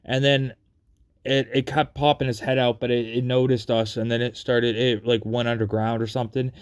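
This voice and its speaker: A man casually telling personal stories in a rambling, repetitive and halting way. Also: mid-range voice, little pitch movement, clean tone. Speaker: storyteller.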